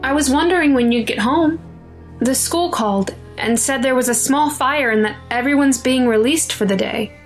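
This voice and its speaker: A woman's voice: high-pitched, normal speed, soft background music, natural voice. An actress playing a protective, fretting mother of a schoolboy in an audio drama, with a nagging, anxious manner. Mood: chatty.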